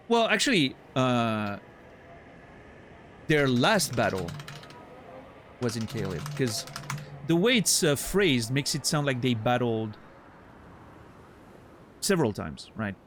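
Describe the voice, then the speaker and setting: French accent guy streaming a game, medium voice, rambles and thinks out loud, jokes back at chat. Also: mid-range voice, a little hiss or hum. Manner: chatty, thinks aloud, answers chat with playful mock outrage. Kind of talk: casual livestream gameplay commentary.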